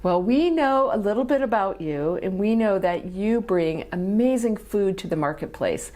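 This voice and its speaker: A woman's voice: medium pitch, expressive, moderate pace, mellow. A woman hosting an interview, asking questions in an enthusiastic, encouraging way. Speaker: host, interviewer. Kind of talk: friendly interview.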